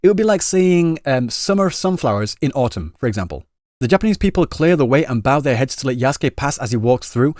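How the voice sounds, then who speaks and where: Fast-talking man, dry close sound. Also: mid-range voice, big pitch swings, darkish tone, no room sound. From Britain. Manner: quick, chatty, restarts phrases. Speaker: YouTuber explaining Japanese reactions. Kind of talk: commentary vlog, reading out translated comments.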